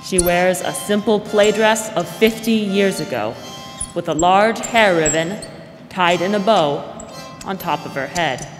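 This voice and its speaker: A woman narrating a scripted 1940s-style radio drama with measured, deliberate phrasing. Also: fairly low pitch, natural voice. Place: school theater stage. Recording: theater hall reverb. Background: music.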